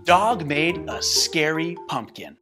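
A man's voice: fairly high pitch, big pitch swings, slow pace, over music. An American man in his thirties co-hosting a children's storybook show, mixing read-aloud with mock-serious comic banter. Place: small bright indoor studio set.

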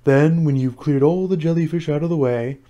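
A man's voice: fairly high pitch, dark tone, dull audio, close to an everyday voice. Man acting a mournful, sentimental storybook turtle creature. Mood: excited. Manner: slow, solemn, sighing. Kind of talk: storybook character voice.

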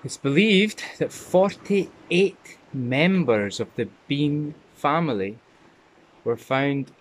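A young man vlogging by a rocky shore and in a sea cave, talking into a handheld selfie camera, shifting between dramatic hushed storytelling and loud exclamations. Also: on the high side, lively intonation, slow pace, slight background noise, rough recording. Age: young adult. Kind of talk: excitable travel vlog.

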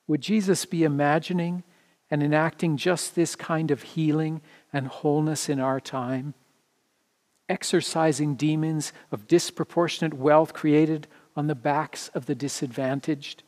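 This is a man in his fifties or sixties with a slightly high-set voice. He preaches from a written text as a pastor would. His phrasing is measured and deliberate, set out piece by piece. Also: steady tone, a little mellow. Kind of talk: sermon read from a script. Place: indoor church sanctuary, standing before the altar.

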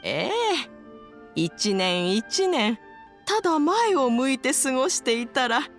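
A woman playing a kindly elderly village wife in an anime game, gentle and grandmotherly. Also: high voice, big pitch swings, slow, soft background music, full character voice. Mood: fond, teasing. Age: older. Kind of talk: Japanese anime game voice acting.

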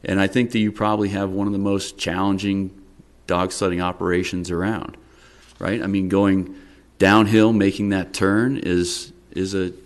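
A middle-aged man, a town council member, questioning a business owner at a public work session, measured and repeating words as he presses his point. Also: low voice, level tone. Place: town council meeting room, indoors. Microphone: desk microphone.

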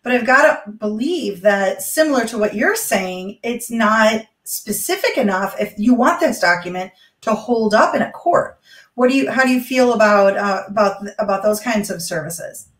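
A woman's voice: medium pitch, expressive, moderate pace. A woman lawyer co-hosting a video call discussion, laying out a legal scenario and asking questions. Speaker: co-host, lawyer. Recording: echoey room on video call.

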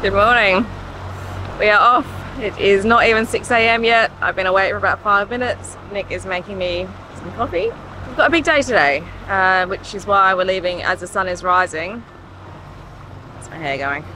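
A woman's voice: mid-range voice, normal speed, sharp tone, a little hiss or hum, low-quality recording. A woman in her thirties talking casually to a handheld camera on the deck of a catamaran, easygoing and matter-of-fact. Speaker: sailing vlogger. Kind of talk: casual vlog narration. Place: on deck of a catamaran at sea, outdoors. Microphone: handheld camera at arm's length.

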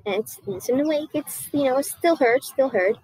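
A young girl playing an indignant, vengeful Greek goddess queen in a school monologue, haltingly and emphatically repeating her phrases. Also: high voice, animated, a little mellow, a little hiss or hum, poor audio. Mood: recounting, flustered. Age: child or young teen student. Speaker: student performer. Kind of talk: costumed first-person school monologue. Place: outdoors under a wooden canopy.

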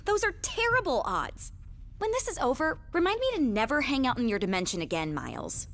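A woman playing a sardonic young masked superhero in a video game, wry and expressive. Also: high voice, a little fast, heavily acted. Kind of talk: video game cutscene acting. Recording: noisy ambience behind the voice.

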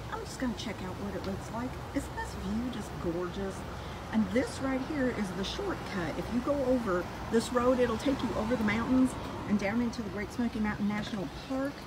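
A woman's voice: fairly high pitch, heavy background noise. Chatty woman on a walking tour. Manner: chatty, enthusiastic, giving directions. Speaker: vlogger touring a glamping site. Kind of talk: casual walking tour vlog. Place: outdoors at a rural mountain campsite. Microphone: phone held while walking.

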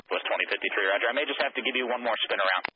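Male air traffic controller, very fast routine calls, dull radio sound with hiss. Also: medium pitch. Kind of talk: radio exchanges with pilots. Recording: noisy lo-fi radio transmission.

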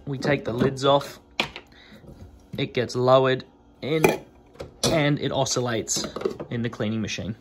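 A man's voice: mid-range voice, a little slow, long pauses, very noisy. A man in his thirties, a watchmaker, chatting casually through a tour of his small workshop with lots of "uh" and "um", recorded on a phone held close. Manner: relaxed, rambling with many fillers. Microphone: phone camera held close.

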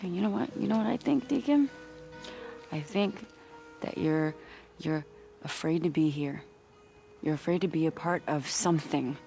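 An actress playing a frank, challenging camp survivor in a naturalistic video game style. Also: female voice, low-pitched, normal speed, vocal fry, long pauses, warm tone, soft background music. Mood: pleading, frank.

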